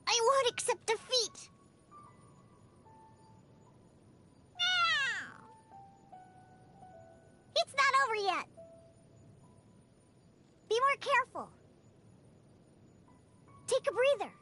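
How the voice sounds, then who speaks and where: Very high bright little cat girl, feisty, proud, pouty, cutesy, unhurried, video game character. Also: animated, faint music behind, full character voice. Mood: determined. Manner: pouty, bratty, cutesy exclamations.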